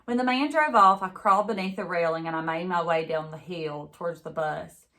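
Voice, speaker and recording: A woman with a slightly low voice reads a children's book aloud. She is expressive and teacherly, speaking as if to a class of young listeners. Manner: pauses to chat with young listeners.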